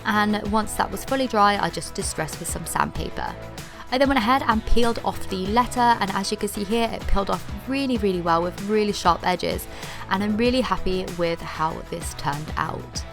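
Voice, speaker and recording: A young woman walks viewers through a craft project in a casual, chatty way. Her voice is slightly hoarse because she is losing it, and her pitch sits in the middle. Soft music plays quietly beneath her. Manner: chatty, upbeat, lots of "like" fillers. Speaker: DIY vlogger. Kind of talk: casual DIY tutorial voice-over.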